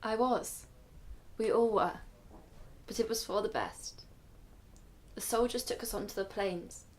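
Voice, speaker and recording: Radio drama grandmother, played by a woman: weary, dignified, solemn, mid-pitched, measured storyteller. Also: natural voice. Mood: solemn, reflective. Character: weary, dignified Ethiopian-Israeli grandmother recalling her flight.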